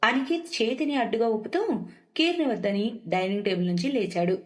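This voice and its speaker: A woman's voice: on the high side, fairly quick, faint music behind. A woman storyteller reading scripted narration for a moral story.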